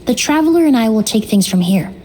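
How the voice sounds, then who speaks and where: A woman voicing a calm, analytical young perfumer who reasons aloud in a measured way. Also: a little high, slight background noise, natural voice. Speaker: investigator in a game story. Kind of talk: video game voice acting. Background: faint game music.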